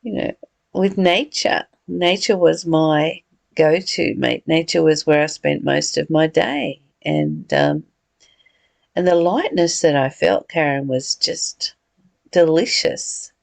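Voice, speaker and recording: A woman's voice: deep voice, slow pace, gravelly, dry recording. A woman leading a reflective spiritual discussion, earnest and given to rhetorical questions and repeated phrases. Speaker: study group leader.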